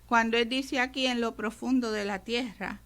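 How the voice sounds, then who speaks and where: A woman's voice: a little high, steady tone, normal speed, fairly bright tone. A woman pastor with a Puerto Rican accent, teaching emphatically on a radio devotional program and speaking straight to her listeners. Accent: Puerto Rican Spanish. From Puerto Rico. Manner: emphatic, addresses listeners directly as brother.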